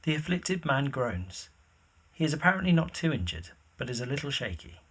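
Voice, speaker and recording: A male storyteller narrating a scripted drama in a bright, forward voice with a husky grain. His delivery swings widely in pitch as he describes each scene. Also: very animated, moderate pace.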